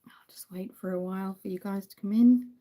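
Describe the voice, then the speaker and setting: A young woman with a Dublin accent talks in a relaxed, rambling way, stopping often to greet viewers by name. Her voice is mellow and warm. She sits at a medium, everyday pitch. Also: fairly quick. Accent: Irish, Dublin. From Ireland. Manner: chatty, apologetic, greets viewers by name, frequent ums. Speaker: makeup livestream host. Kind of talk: casual live-stream chat while doing makeup.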